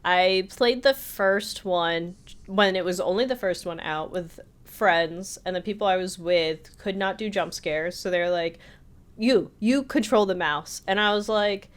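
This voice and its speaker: Low-voiced woman chatting casually. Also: unhurried, smooth. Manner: conversational storytelling, voices quotes from others. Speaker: podcast co-host. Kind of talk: casual chat, telling anecdotes.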